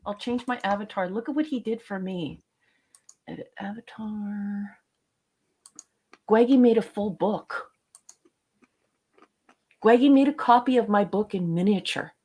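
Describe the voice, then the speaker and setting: A woman co-hosting a casual livestream chat over a video call, quick and playful, teasing the others. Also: medium pitch, mellow. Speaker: co-host.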